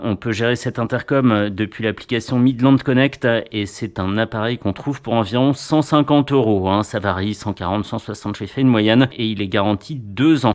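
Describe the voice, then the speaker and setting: A man reviewing motorcycle gear in a casual, chatty way, with playful little asides. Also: mid-range voice.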